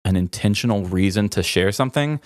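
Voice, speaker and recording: A man's voice: on the low side, expressive, fairly quick, no room sound, clean studio sound. Rambling, thoughtful man podcast co-host. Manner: thoughtful, rambling, wry jokes. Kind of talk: casual podcast chat.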